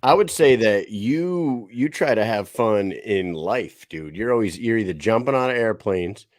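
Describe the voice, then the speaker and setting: Male podcast co-host, lowish voice, dry close sound, eager and halting. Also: expressive, no echo. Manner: enthusiastic, halting restarts. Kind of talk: casual podcast chat.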